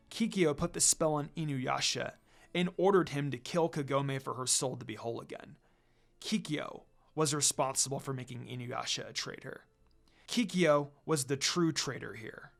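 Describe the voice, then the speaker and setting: A man's voice: medium pitch, creaky. A man reading fan fiction aloud as a narrator, in a dramatic scripted storytelling style. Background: music.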